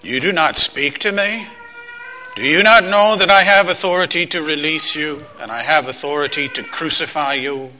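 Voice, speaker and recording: A man playing an imposing, weary Roman governor in a dramatized scripture reading, speaking in a deliberate, declamatory way. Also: fairly high pitch, bright, forceful tone, soft background music, low-quality recording. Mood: commanding.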